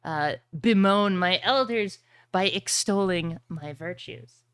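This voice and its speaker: A woman voicing a smug, secretive chaos witch in a tabletop game, speaking in slow, formal, teasing phrases. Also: on the low side, a bit bright, smooth, dry recording. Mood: coy. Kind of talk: tabletop roleplay dialogue.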